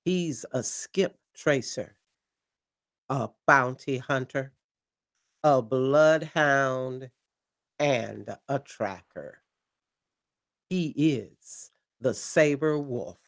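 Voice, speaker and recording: A man playing an earnest late-night pirate radio host with a measured, showmanlike delivery in an audio drama. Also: medium pitch. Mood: dramatic build-up.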